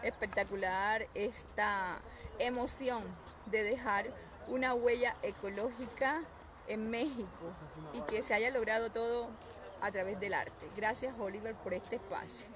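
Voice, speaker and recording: A woman's voice: a little high, steady tone, unhurried. Earnest lady foundation head, chatty crowd around. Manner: grateful, earnest. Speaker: foundation president. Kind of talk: casual outdoor interview. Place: outdoor park.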